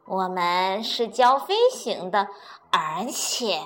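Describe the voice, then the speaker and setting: A woman's voice: medium pitch, very animated, fairly bright tone. A woman voicing a courteous, learned male crane who teaches flying, in a children's storybook reading. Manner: courteous, measured, slow.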